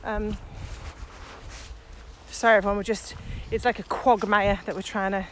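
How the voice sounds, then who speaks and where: A woman's voice: medium pitch, moderate pace. A woman co-hosting a podcast, talking casually and enthusiastically as she walks outdoors. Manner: enthusiastic, animated about the subject. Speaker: podcast co-host and virtual training coach. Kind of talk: casual conversation recorded while walking. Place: outdoors, walking on a muddy path. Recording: outdoor background noise, faint traffic.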